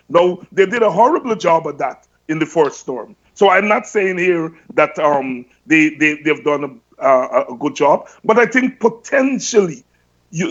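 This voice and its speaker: A man speaking as a guest on an online panel discussion, measured and thoughtful, often pausing with "um". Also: sits high. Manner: measured, thoughtful, many ums. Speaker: panel guest, party member. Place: home room, indoors. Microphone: video call. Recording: dull lo-fi video call audio.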